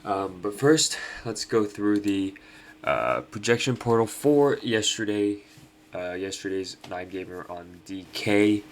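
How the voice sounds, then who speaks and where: A man's voice: fairly low pitch, expressive, a little slow. A young man, nasal from allergies, casually hosting a fantasy basketball picks breakdown with lots of ums. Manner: laid-back, many ums and uhs. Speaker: fantasy sports host.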